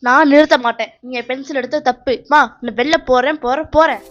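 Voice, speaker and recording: A woman plays a little girl duckling from a children's cartoon. She is high-pitched and chatty. Her delivery is stubborn, whiny and emphatic, with a childlike pleading manner. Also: fairly even intonation, fast, fairly bright tone, dull audio. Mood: angry, stubborn.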